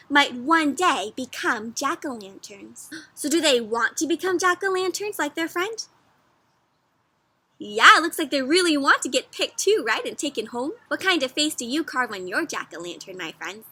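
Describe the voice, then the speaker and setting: A woman doing an interactive storybook read-aloud for young children, animated and teacherly, often prompting her listeners with questions. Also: high-pitched, no echo.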